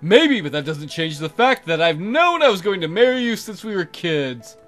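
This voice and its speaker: Man doing a loud, pushy wolf suitor, swooping pitch. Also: big pitch swings, moderate pace, faint music behind. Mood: cocky, insistent. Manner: insistent, blustering. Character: pushy, lecherous young male wolf suitor, cocky and whiny.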